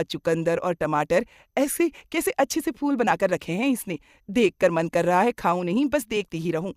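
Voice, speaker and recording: A woman's voice: mid-range voice, a little mellow, dry recording, clean studio sound, close to an everyday voice. A woman playing a gossipy, effusive middle-aged aunt who chatters and exclaims, in a moral cartoon story.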